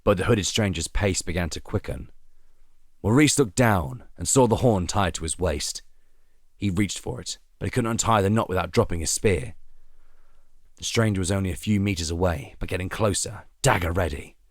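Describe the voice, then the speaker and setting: Narrador de voz grave, gravação seca. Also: male voice, deep voice, moderate pace, dry recording, clean studio sound. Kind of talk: dramatic scripted story narration.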